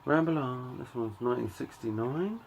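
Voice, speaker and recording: A middle-aged man giving a casual show-and-tell of his collection, talking in a halting, offhand way and reading out titles in short bursts. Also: medium pitch, gravelly, a little hiss or hum. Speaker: record collector and channel host.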